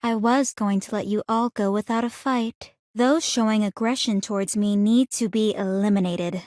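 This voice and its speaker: An actress voicing a calm, clinically curious young woman in a video game, speaking in a formal, measured, coolly teasing way. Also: medium pitch, no room sound, studio quality, lightly acted. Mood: detached, faintly menacing. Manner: composed, measured, formal, inquisitive. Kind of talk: scripted video game character voice lines.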